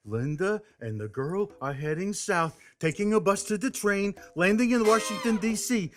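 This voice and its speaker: A man playing a whiny, foul-mouthed petty criminal with a childish streak in an audio drama, excitable and pleading. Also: sits high, moderate pace. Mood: sheepish. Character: whiny, foul-mouthed small-time male criminal, childish when tempted. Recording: music behind the voice.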